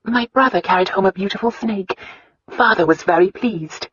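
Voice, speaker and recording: A woman voicing a spirited, candid young wife with an earnest, expressive way of talking in a romance audiobook. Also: medium pitch, normal speed, breathy edge, dry recording, dull audio, pitched above the performer's own voice. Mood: fond, animated.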